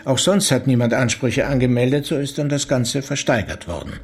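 An actor playing a matter-of-fact police investigator in a radio play, questioning soberly. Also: male voice, medium pitch, expressive, fairly quick, slightly rough, soft background music. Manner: sober, probing. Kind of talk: radio drama acting.

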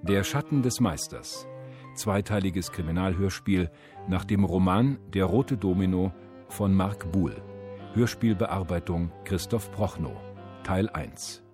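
Deep, slow male radio announcer. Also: over music. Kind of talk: formal scripted reading of radio drama credits.

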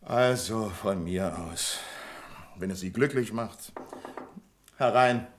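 An actor in a 1970s German radio play, playing a pompous, stubborn, sarcastic bank branch manager with a condescending manner. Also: male voice, on the low side, slow pace, slight background noise. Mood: curt, condescending.

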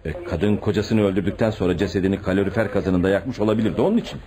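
An actor playing an earnest, stubborn junior police detective in a radio play, deferential yet insistent. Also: male voice, deep voice, very fast, background noise, lightly acted. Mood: apologetic, reporting. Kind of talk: radio theatre acting.

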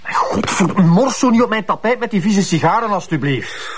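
An actor playing a bossy, condescending well-off schemer with a Flemish accent, patronising and controlled, in TV crime drama style. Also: male voice, sits high, expressive, normal speed. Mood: annoyed. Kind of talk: naturalistic TV crime drama acting.

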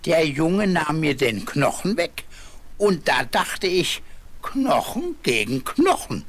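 An actor playing a grumbling, wistful old chained dog with a husky voice in a children's fairy-tale audio drama. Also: medium pitch, sing-song, takes it slowly. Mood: bitter, grumbling. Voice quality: husky, lost his fine voice.

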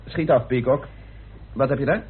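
A man's voice: medium pitch, normal speed, slightly rough. An actor playing a determined, authoritative committee chairman in an old radio play. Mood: businesslike. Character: committee chairman, a determined, brisk British parliamentarian. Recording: scratchy lo-fi old radio recording.

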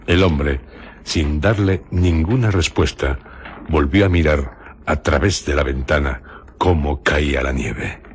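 Very deep-voiced man narrating radio drama. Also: slight background noise. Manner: measured, phrase-by-phrase delivery.

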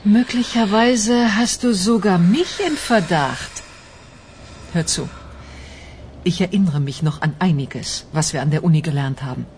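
A woman's voice: lowish voice, unhurried, a little mellow, slight background noise, close to an everyday voice. A woman playing a talkative, defensive middle-aged office worker in a radio crime drama, mocking and easily indignant. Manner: chatty, mocking, indignant when pressed. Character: talkative, defensive female office worker under police suspicion.

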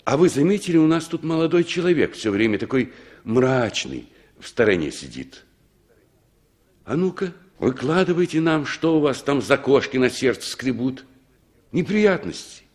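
A man's voice: medium pitch, big pitch swings, moderate pace. An actor in a 1960s Soviet radio play as a sociable, good-natured male traveller, courteous and inquisitive. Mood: jovial, curious.

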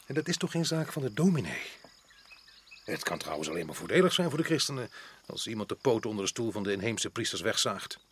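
Stubborn colonial official, noisy recording. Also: male voice, lowish voice, very animated, brisk. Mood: casual, dismissive. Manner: dismissive, opinionated. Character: stubborn, self-assured colonial resident official, beer-drinking husband. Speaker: radio play actor. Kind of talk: radio drama acting in Dutch. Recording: noisy old radio play recording.

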